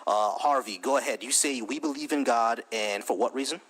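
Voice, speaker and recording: This is a man hosting a live call-in debate. His voice sits at a mid pitch, and his talk is relaxed and full of casual slang. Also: a little fast, slightly rough. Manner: laid-back, slangy, easygoing. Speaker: host.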